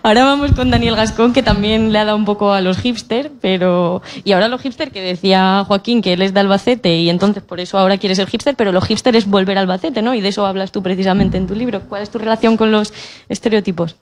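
A woman's voice: mid-range voice, quick pace. A woman moderating a stage panel, with a playful teasing manner, speaking through a handheld mic. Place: stage of a cultural centre auditorium.

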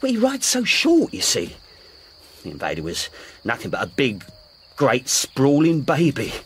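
An actor playing a humble, earnest racehorse jockey in a radio drama, deferential, with rustic, ungrammatical speech. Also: male voice, medium pitch, wildly swooping pitch, measured pace, a bit bright, slight background noise. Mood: earnest, indignant. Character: humble, earnest working-class racehorse jockey devoted to his horse.

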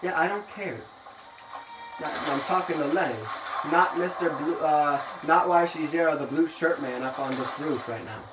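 A man's voice: a little high, moderate pace, over music. A young man hosting a gaming video, chatting casually and rambling, playfully bossy about the plan. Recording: echoey room, mic far, noisy.

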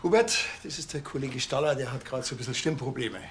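Man playing a deadpan Bavarian village cop. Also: mid-range voice, quick pace, a little hiss or hum, natural voice. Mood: casual questioning. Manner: deadpan, colloquial. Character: blunt, dry, cocky male village policeman. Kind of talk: TV crime comedy acting.

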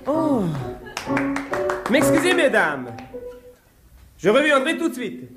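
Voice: sits high, big pitch swings, takes it slowly, heavily acted. An actor playing a French dance teacher in a 1950s radio drama, counting rhythmically in a singsong. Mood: brisk, singsong. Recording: echoey old radio recording, loud music.

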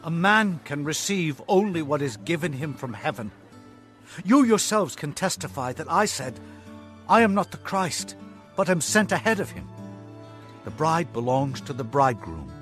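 A man's voice: on the high side, very animated, moderate pace, a bit bright, faint music behind. An actor playing a fervent prophet, declaiming his testimony in a dramatized scripture reading. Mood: fervent, exalted.

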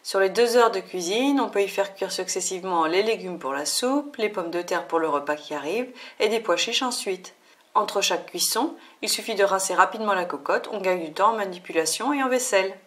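A woman reading a scripted voice-over for her own cooking video. Her pitch sits in the middle range. Her delivery is clear and upbeat, like a tutorial. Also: expressive, brisk, fairly bright tone. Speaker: cooking channel host.

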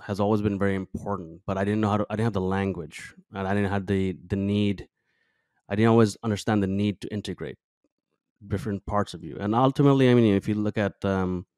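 Deep-voiced thoughtful man in his thirties. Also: darkish tone, clean tone, no echo, clean studio sound. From Bangladesh. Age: 30s. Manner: thoughtful, searching, many fillers and restarts. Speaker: podcast guest. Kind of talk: reflective interview talk. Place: small room with plant and guitar on wall. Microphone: headset with studio mic on arm.

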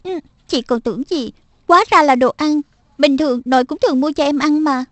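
Woman as a high-voiced bossy big sister. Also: brisk, no echo, natural voice. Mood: assertive. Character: bossy, persuasive schoolgirl elder sister. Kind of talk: Vietnamese-dubbed children's cartoon.

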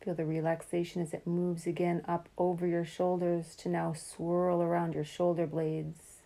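A woman guiding a relaxation meditation in a calm, soothing, unhurried way, leaving long pauses between phrases. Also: fairly low pitch, creaky. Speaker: meditation guide.

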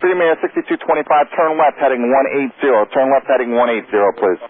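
A man working as an air traffic controller, giving clipped, brisk, procedural instructions over radio. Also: mid-range voice, phone-quality sound. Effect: radio transmission.

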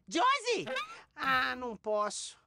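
An actor voicing an excitable, gullible young male bread slice in a cartoon, dramatic and emphatic, with a Brazilian accent. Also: high-pitched, slow, vocal fry, exaggerated voice. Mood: worried. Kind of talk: animated cartoon dialogue.

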